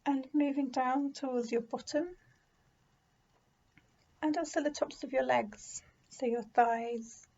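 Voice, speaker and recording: Woman doing a guided meditation, higher mellow voice, gentle and unhurried, bit muffled recording. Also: steady tone. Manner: gentle, soothing, unhurried with pauses. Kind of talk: guided body scan meditation.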